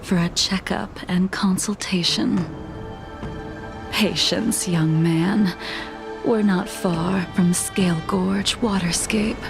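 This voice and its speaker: A woman voicing a cold, haunted woman warrior in a video game, calm, formal and measured. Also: on the low side. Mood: cool, calm. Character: cold, composed blindfolded woman warrior haunted by her past. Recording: music playing behind the voice.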